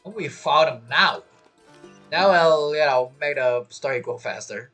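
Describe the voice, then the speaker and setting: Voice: mid-range voice, measured pace, a bit bright, faint music behind. A game streamer giving casual live commentary, rambling and thinking aloud.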